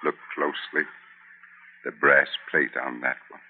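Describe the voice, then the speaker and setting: Undead avenger, bottomless bass, crackling gravel, solemn incantatory menace, radio horror, muffled vintage recording. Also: male voice, level tone, unhurried, exaggerated voice. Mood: ominous. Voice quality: very deep, gravelly. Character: vengeful walking corpse of a wronged worker. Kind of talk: old-time radio horror acting.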